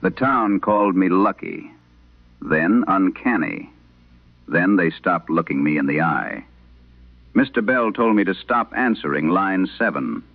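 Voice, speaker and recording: A man with a very low voice narrates eerie tales as a late-night horror host. His delivery is solemn, measured and literary. The sound is thin and narrow like an old radio broadcast, with a very dry, close feel. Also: lively intonation, no room sound. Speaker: horror audio drama host reading letters. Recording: band-limited, dry vintage radio sound.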